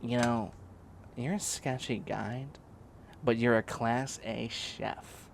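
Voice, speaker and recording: A man's voice: medium pitch, fairly even intonation, slow, gravelly, noisy background. A man playing a bossy, clingy young catboy in a comedic roleplay audio drama, whining and demanding in a needy way. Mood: content, defensive.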